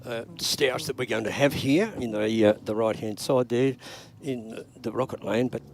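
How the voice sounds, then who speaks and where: An older man, co-commentating drag races in a relaxed, knowledgeable way. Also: mid-range voice, normal speed, gravelly, a little hiss or hum.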